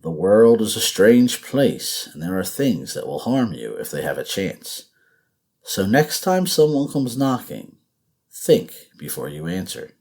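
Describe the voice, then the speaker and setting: A man's voice: on the low side, sing-song, unhurried, a little mellow. A man reading a scripted horror story as narrator, in a dramatic, suspenseful, emphatic way.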